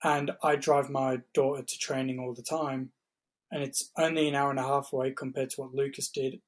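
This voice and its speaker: A man co-hosting a podcast, talking in a measured, encouraging way as he shares anecdotes. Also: mid-range voice. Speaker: podcast co-host. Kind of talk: casual podcast chat.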